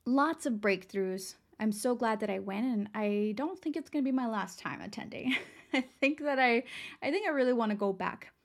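A young woman from Texas hosts her motivational podcast with a pitch a little above the usual for a woman. Her voice is raspy and worn today. She keeps it chatty and upbeat, calling her listeners girl and friend. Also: animated, moderate pace. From San Antonio, Texas. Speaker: podcast host. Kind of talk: casual motivational podcast talk.

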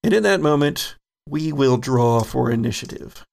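A man with a deeply gravelly, creaky voice runs a tabletop horror game as game master. He describes scenes at an unhurried pace, filling gaps with ums. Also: lively intonation, darkish tone.

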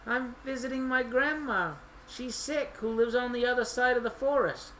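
A woman's voice: a little high, normal speed, a bit bright, a little hiss or hum, pitched above the performer's own voice, natural voice, low-quality recording. A woman voicing an innocent, trusting, polite little girl in a children's storybook tale, with a wide-eyed manner. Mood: innocent, bright.

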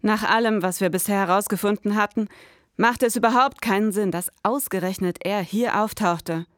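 A woman's voice: medium pitch, normal speed, dry recording, clean studio sound. A woman hosting an investigative podcast in scripted, dramatised style, as narrator and interviewer.

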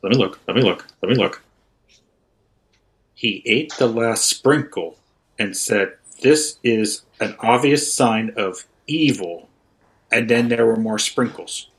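A man's voice: fairly low pitch, slow pace, low-quality recording. A man playing a starstruck, self-effacing student and devoted fan, earnest and repetitive in an improvised comedy sketch. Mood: awed, gossipy.

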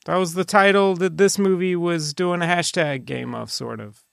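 Creaky, higher-voiced man on a podcast. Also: moderate pace, no echo, clean studio sound. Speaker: podcast host. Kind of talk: casual podcast banter.

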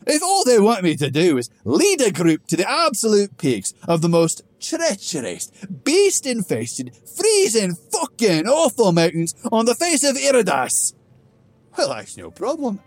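A man's voice: on the high side, wildly swooping pitch, takes it slowly, dry recording, voice pushed up, heavily acted. A man doing a drunk, sarcastic old dwarf. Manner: slurring, loud and mocking sarcasm.

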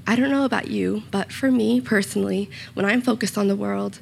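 A young woman giving a short church sermon with a slightly raspy voice, earnest and personal, with small self-conscious asides. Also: mid-range voice, brisk, darkish tone, slight background noise. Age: young adult. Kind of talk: sermon with scripture reading and prayer. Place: church room.

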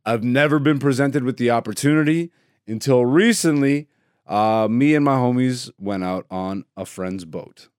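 A male comedian hosting a podcast alone, talking in a laid-back, profane way and laughing, with sudden loud excited bursts. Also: mid-range voice, normal speed, clear, resonant tone, dry recording, clean studio sound. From Greece. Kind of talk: casual solo podcast chat.

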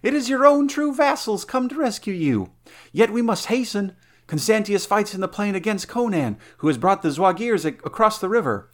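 A man doing an urgent, high-pitched young knight. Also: big pitch swings, moderate pace. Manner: urgent, exclaiming. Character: desperate loyal young male knight.

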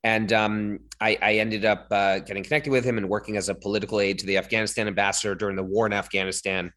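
A man in his thirties, a company founder, recounting his career in a relaxed interview with many fillers. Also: fairly low pitch, fast. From born in Hong Kong, raised in London. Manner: chatty, with many fillers and self-corrections. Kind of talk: interview, personal storytelling.

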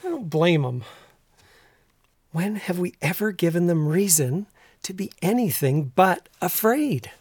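An actor with a Canadian accent playing a troubled, earnest middle-aged rural husband in an audio drama, speaking plainly and haltingly. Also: male voice, high voice, animated, darkish tone, husky, dry recording, clean studio sound, exaggerated voice. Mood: hushed, troubled. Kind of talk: naturalistic audio drama acting.

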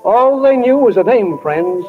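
Homespun radio-play guide, high-pitched man, musing, unhurried, tinny vintage broadcast. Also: animated, soft background music. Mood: folksy, reflective. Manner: homespun, musing, unhurried, addresses listeners as friends. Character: folksy reflective small-town New Hampshire storyteller guide. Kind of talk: 1940s radio acting, narration.

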